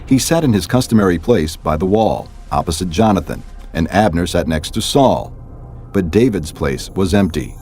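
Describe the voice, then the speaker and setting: Deep voiced guy narrating bible story, dark mellow tone, measured, soft music behind. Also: animated. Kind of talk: scripted scripture narration.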